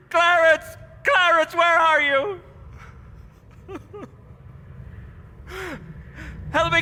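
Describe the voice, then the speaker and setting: A man's voice: bright, forceful tone, full character voice. A man playing an emotional family man in an old-time radio play, exclaiming and repeating himself. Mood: anguished. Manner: highly emotional, exclaiming, repetitive. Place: live stage in a hall. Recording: echoey hall, live stage taping.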